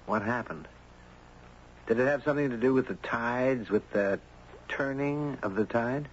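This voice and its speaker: A man's voice: medium pitch, unhurried, lightly acted. An actor playing a friendly, curious and courteous man who also narrates in a 1970s radio drama. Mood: curious, gentle. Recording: dull noisy 1970s radio broadcast.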